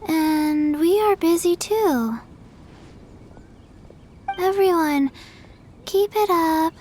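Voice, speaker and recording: A woman voicing a small undead girl who speaks in short, flat, childlike phrases. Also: extremely high pitch, a little hiss or hum, full character voice. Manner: halting, monotone, childlike. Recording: game audio with music.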